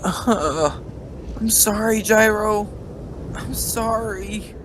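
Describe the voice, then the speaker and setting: An actor in an anime-style fan dub playing a desperate, bitter young male rider, speaking in emotional, halting phrases. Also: medium pitch, noisy background. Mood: grieving, apologetic. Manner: emotional, halting, drawn-out delivery.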